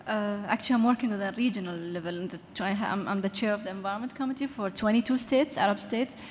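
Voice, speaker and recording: Lady on a conference panel, halting and earnest, mic echoing through a big hall, faint hiss. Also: medium pitch. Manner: earnest, halting with frequent restarts. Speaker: panelist, aviation environment official. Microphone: PA microphone. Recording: reverberant hall with faint noise.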